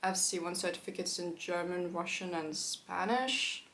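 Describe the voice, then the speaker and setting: A woman of twenty-one from Turkey, a native Turkish speaker talking casually to camera with quick asides and frequent fillers. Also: lowish voice, fairly bright tone. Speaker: YouTuber.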